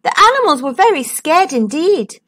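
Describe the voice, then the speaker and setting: A woman reading a picture book aloud to children in a sing-song voice, with dramatic pauses. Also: very high-pitched, big pitch swings, fairly bright tone. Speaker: children's storyteller.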